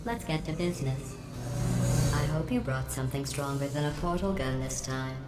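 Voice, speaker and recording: A low, cold, sarcastic machine overseer, echoey game audio over loud music. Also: female voice, moderate pace. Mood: mocking. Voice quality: deadpan, icily sarcastic. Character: sarcastic, menacing female facility AI.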